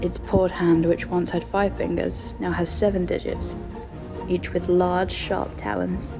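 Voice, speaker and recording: Music plays clearly behind a woman reading scripted story narration. Her voice sits low and carries a gravelly creak. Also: level tone, measured pace.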